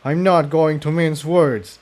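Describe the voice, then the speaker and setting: A man voicing a cruel, smug male pony villain in a story reading, with a taunting, drawn-out manner. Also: fairly high pitch, fairly even intonation, darkish tone, voice pushed up. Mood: cold menace.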